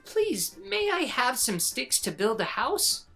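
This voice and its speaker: A woman voicing a small, plucky young javelina in a storybook, squeaky, childlike and emphatic. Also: sing-song, slow pace, gravelly, a bit bright, faint music behind, exaggerated voice. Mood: polite, pleading.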